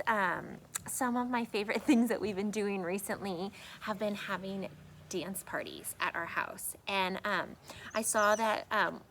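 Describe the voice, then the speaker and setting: A woman speaking to young children outdoors like a teacher, with casual chat and expressive storybook reading. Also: medium pitch. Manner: addresses young children directly. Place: outdoors in a backyard. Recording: some background noise outdoors.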